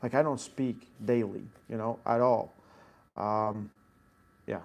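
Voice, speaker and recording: A man's voice: on the low side, fairly even intonation, takes it slowly, vocal fry. A man with a Latvian accent, an arm wrestling coach answering viewer questions in a live Q&A, explaining technique in short bursts and reading chat questions aloud.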